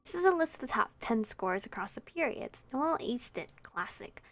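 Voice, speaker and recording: A woman's voice: a little high, big pitch swings, normal speed, fairly bright tone, no echo, soft background music. A young woman streamer reading a visual novel aloud in a light, chatty way into a close mic. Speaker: let's play streamer.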